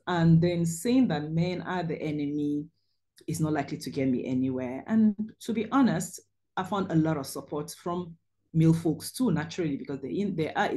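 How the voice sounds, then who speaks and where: Low-voiced woman telling her story. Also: normal speed, dark tone. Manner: earnest storytelling, frequent ums. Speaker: webinar host and surgeon. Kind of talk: presentation over slides. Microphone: video call.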